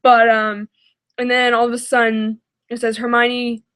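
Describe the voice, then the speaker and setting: A woman's voice: on the high side, level tone, unhurried, a bit bright, smooth. A woman giving casual, exasperated reaction commentary, sounding dismayed and given to sighing.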